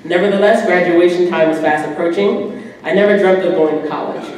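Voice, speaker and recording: A woman in her twenties with an American accent gives a prepared personal speech as a guest, telling stories in an animated way. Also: fairly low pitch, fairly quick. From United States. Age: 20s. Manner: animated storytelling with acted-out quotes. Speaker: guest speaker. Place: indoor banquet room at a podium. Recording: echoey hall from far off, faint noise.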